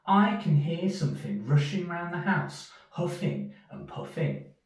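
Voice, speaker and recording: Man putting on a high, anxious little mouse. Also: big pitch swings, measured pace, a little hiss or hum. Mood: frightened, urgent. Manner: urgent, plaintive calling. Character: small, anxious, pleading young male mouse. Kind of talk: children's storybook character voice. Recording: echoey room, distant from mic.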